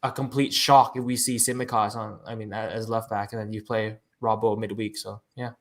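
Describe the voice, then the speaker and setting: A man's voice: fairly low pitch, flat delivery, a little fast. A man talking football tactics in a casual, hesitant way. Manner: hesitant, many restarts. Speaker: podcast panelist. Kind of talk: football analysis chat.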